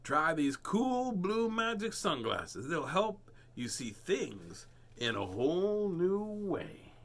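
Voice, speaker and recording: A cool, groovy cat from a storybook, easygoing and slow, as a man reading aloud puts him on. Bright and lively in pitch. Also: heavily acted. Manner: slow, groovy hip talk. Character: cool laid-back cat.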